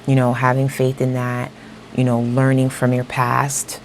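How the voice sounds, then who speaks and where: A woman giving a casual tarot reading straight to her viewers, a little hoarse as she is losing her voice, often checking in with "okay?". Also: a bass voice, completely flat delivery, measured pace, slight background noise. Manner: talks straight to viewers, repeats "okay?" and "you know". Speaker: tarot reader.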